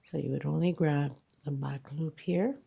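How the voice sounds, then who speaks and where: Congested woman crochet teacher, unhurried, gravelly and husky, calm step-by-step guidance. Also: low voice. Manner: slow, counting stitches aloud with frequent okays. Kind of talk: hands-on tutorial narration.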